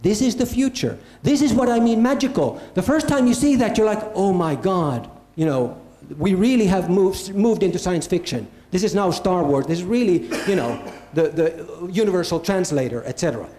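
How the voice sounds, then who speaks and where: Man, high voice, mellow tone, emphatic keynote in an echoey hall. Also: expressive, normal speed, hardly any pauses. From Finland. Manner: emphatic, repeats phrases for stress. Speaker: conference speaker. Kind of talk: live keynote talk. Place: event hall with an audience.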